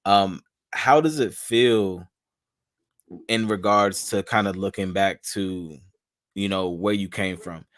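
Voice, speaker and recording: A man's voice: low-pitched, slow pace, no room sound, studio quality. A man from Charlotte, hosting a casual podcast interview in a slangy, rambling way. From Charlotte, North Carolina. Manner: laid-back, slangy, rambling with restarts. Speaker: podcast host.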